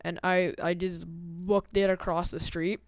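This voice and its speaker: Young male gaming host, casual slangy storyteller with a clean, smooth voice, recorded close and dry. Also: sits high, flat delivery, a little slow, no room sound. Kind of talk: casual storytelling over gameplay.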